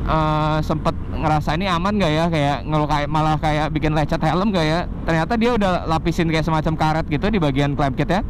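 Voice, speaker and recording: A young man with a Jakarta accent giving a casual, unscripted gear review, informal and mixing in English phrases. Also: high-pitched. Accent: Jakarta Indonesian. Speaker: vlogger reviewing motorcycle gear. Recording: clear outdoor traffic and vehicle noise.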